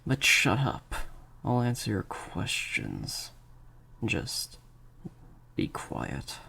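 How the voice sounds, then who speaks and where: A man playing a sadistic vampire master in an improvised audio roleplay, menacing and teasing, in breathy half-whispers to a captive listener. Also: lowish voice, huge pitch swings, very slow, bright, forceful tone, a little hiss or hum, exaggerated voice. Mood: stern, threatening.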